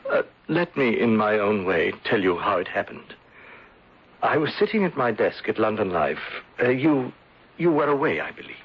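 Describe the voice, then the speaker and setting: Husky, earnest, troubled gentleman. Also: fairly low pitch, sing-song, full character voice. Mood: polite, recollecting. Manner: halting, earnest, melodramatic. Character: anguished, guilt-ridden male former insurance agent. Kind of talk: 1970s radio drama acting. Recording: dull lo-fi old radio broadcast.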